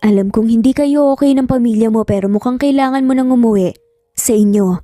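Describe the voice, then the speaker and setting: Woman voicing a gentle, mellow devoted young man. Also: fairly high pitch, brisk, clean studio sound, lightly acted. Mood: concerned. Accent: Filipino. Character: caring, devoted young man, loyal best friend. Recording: roomy echo, faint music behind.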